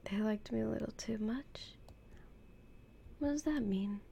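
A young woman whispering slowly and intimately as a doting, possessive girlfriend in an audio roleplay. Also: fairly high pitch, gravelly, a little hiss or hum. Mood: soothing. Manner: soft whispering, slow and intimate.

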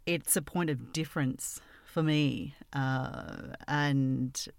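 Casual interviewer, mid-pitched voice, dry close sound, chatty and laughing. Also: steady tone, vocal fry, clean tone, no echo. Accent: non-standard, self-noted. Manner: chatty, laughs often, quick backchannels.

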